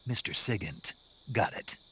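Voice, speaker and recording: A man voicing a gruff, laconic middle-aged special forces operative in a video game, with a gravelly voice. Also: on the low side, animated, a little slow, a bit bright, a little hiss or hum. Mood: terse, resolute. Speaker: field agent taking a briefing.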